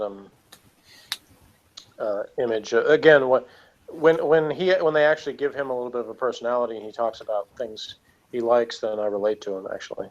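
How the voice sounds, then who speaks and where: Male review co-host, casual and hesitant, grumbling critic, muffled lo-fi recording. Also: mid-range voice. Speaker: podcast co-host reviewing television.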